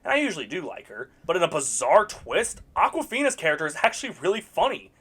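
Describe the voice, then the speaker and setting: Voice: mid-range voice, very animated, fast, fairly bright tone, husky. A movie reviewer giving a casual, opinionated critique, sarcastic and blunt, with occasional swearing.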